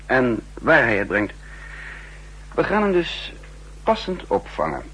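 An actor playing a brisk, commanding, world-weary spy chief in a 1960s radio drama. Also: male voice, mid-range voice, huge pitch swings, sharp tone, slightly rough, exaggerated voice. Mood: brisk, authoritative. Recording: humming, noisy 1960s radio recording.

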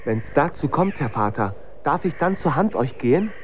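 An actor playing an eager, deferential young son of an executioner in a radio drama. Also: male voice, fairly high pitch, very animated, normal speed, muffled recording, a little hiss or hum. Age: young adult.

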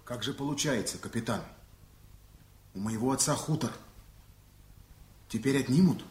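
A worried sailor played by a male actor in a Soviet radio drama. His mid-pitched voice is anxious and questioning, in an echoey room. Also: normal speed, a little mellow, close to an everyday voice. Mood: worried, challenging. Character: worried sailor, farmer's son questioning the captain. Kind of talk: 1980s Soviet radio play acting.

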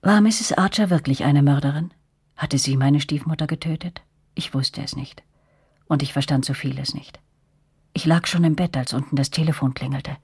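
An actress narrating a radio drama as a reflective woman recalling her girlhood, with a breathy, husky voice. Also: deep voice, normal speed, a little mellow, dry recording, clean studio sound, exaggerated voice. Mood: puzzled, wary.

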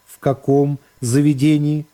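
A man voicing a courteous presiding judge in a radio drama, questioning softly and formally. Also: a little high, flat delivery, a little slow, natural voice. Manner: soft, affable, formal questioning.

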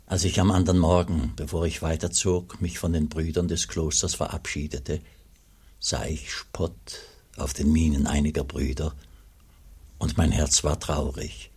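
A weary monk narrating a radio drama in the first person. The man's voice is extremely low and very husky, grave and subdued with sorrow. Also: flat delivery, measured pace, darkish tone, full character voice. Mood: weary, sorrowful. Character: weary, sorrowful monk recounting his own pilgrimage.

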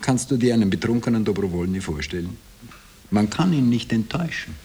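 A man's voice: on the low side, animated, a little fast, mellow, breathy edge. An actor playing a haunted Austrian former corporal, telling his wartime imposture in colloquial dialect in a 1960s radio drama. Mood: agitated justification. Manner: colloquial dialect storytelling, broken into short phrases. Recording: hissy old radio tape.

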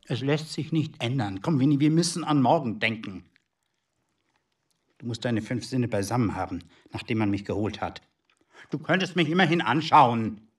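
A blustering, self-pitying secret agent husband. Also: male voice, medium pitch, expressive, normal speed, slightly rough. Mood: uneasy, coaxing. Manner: blustering, pleading, swinging between coaxing and outrage. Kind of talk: German radio drama acting.

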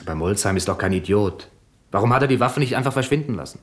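An actor playing a male police inspector in a 1970s radio drama, speaking briskly and matter-of-factly with a Swiss German accent. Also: lowish voice, lively intonation, natural voice.

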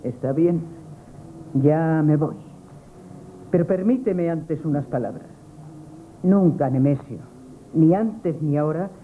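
An actress playing a proud, devoted, spurned sweetheart, earnest and pleading, in a Spanish radio play. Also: female voice, deep voice. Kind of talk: Spanish radio theatre acting, 1970s. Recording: thin, tinny, noisy old radio broadcast.

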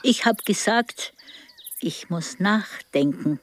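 An actress playing an older village widow, hesitant and sighing, in a radio drama. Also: female voice, mid-range voice, animated, takes it slowly, dry recording. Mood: hesitant, guilty.

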